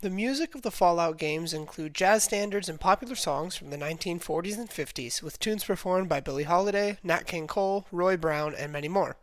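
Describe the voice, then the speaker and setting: A man's voice: high-pitched, a little fast, few pauses, dry recording. A young man narrating a scripted video essay as a student presenting an analysis, reading steadily from a prepared text. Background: music playing under the voice.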